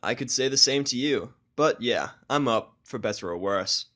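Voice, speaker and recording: A sullen human outcast, played by a man, at a middle pitch. He is sarcastic and gruff, grudging with any affection, in an audio drama. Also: animated, moderate pace, bright, forceful tone. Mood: dry concern. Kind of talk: audio drama of fan fiction.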